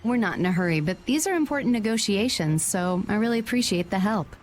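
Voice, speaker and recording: A woman playing a shrewd, confident merchant guild president in a video game, brisk and polished in delivery. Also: medium pitch, animated, darkish tone, slight background noise, lightly acted. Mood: brisk, assured. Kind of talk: video game dub acting.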